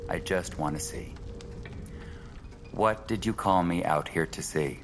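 An actor playing a blunt, wry antiquities appraiser in an audio drama, plain-spoken and defiant. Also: male voice, low voice, unhurried, big-room sound, music in the background.

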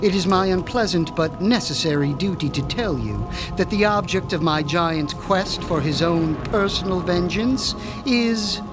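A man's voice: high voice, expressive. A man playing a sinister, pompous mad scientist who boasts of his revenge, in a radio drama, with faint music underneath. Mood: menacing. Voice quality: measured, menacing, pompous. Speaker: Dr. Stein.

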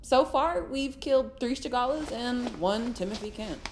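An actress playing a brash, upbeat, warm-hearted young woman in an audio drama. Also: a little high, expressive, moderate pace, slight background noise.

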